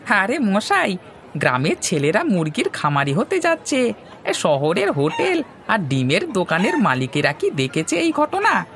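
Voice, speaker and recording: A woman as a wildly animated chicken seller. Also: medium pitch, a little slow, heavily acted. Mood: teasing. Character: brash, teasing village chicken seller. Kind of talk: comic Bengali cartoon dialogue. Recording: faint farmyard noise, crowing roosters.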